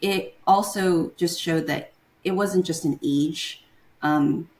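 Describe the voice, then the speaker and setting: A young woman from Taiwan with a low-pitched voice talks as a guest in a casual interview. She speaks in a hesitant way, filling gaps with "um" and "like" while she hunts for the right term, and her voice sits low and steady. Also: takes it slowly, poor audio. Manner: hesitant, searching for words with many fillers.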